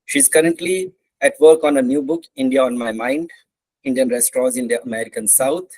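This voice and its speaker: A man's voice: medium pitch, darkish tone, no room sound, low-quality recording. A man introducing a keynote speaker over a video call, respectful and formal. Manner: respectful, reads a biography. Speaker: conference host introducing the speaker. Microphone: computer mic on video call.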